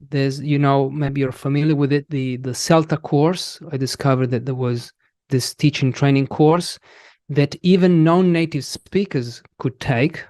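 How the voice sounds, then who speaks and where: An Italian man in his thirties speaks English with a clear Italian accent. He talks slowly in a casual interview, pausing often and restarting his sentences. The recording is dry, with no room sound. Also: on the high side, darkish tone, no echo. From Italy. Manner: slow, halting, many restarts and fillers. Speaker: guest, English teacher.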